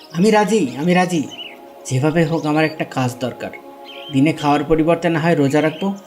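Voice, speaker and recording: A man's voice: fairly high pitch, fairly quick, a little mellow, soft background music, natural voice. A man playing a poor, devout, remorseful husband who pleads earnestly in a dubbed religious cartoon. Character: poor pious husband Ali, humble and remorseful.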